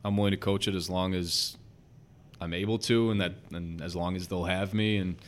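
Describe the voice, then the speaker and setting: Deep, flat-voiced young coach. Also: male voice, a little hiss or hum. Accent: Chicago. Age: young adult. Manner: earnest, talks fast with many fillers. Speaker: basketball head coach, guest. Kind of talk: casual podcast interview.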